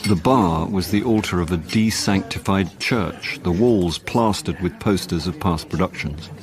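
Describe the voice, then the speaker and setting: A man's voice: low voice, measured pace. An actor playing a wry, world-weary middle-aged actor who narrates his own hard-drinking life with dry self-deprecation in a radio drama. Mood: dry, resigned.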